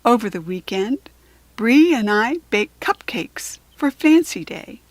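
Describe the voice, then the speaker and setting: A woman with an American accent reading a children's story aloud, expressive and clearly phrased for young learners. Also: fairly high pitch, slow, dry recording. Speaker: children's book reader.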